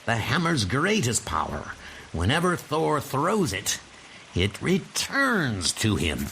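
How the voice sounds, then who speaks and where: A man's voice: fairly low pitch, fairly bright tone, a little hiss or hum, full character voice, low-quality recording. A man voicing an arrogant, scheming god of mischief with theatrical, pompous delivery in a comic book dub. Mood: plotting. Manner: theatrical, pompous, archaic commanding speech.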